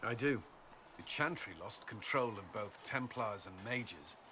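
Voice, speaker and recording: A man's voice: fairly low pitch, lively intonation, a little slow. A man playing an earnest, commanding military officer, firm and formal, in a fantasy game. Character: earnest, commanding male military officer and former holy knight. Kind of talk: dramatic fantasy game acting. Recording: clear background noise and ambience.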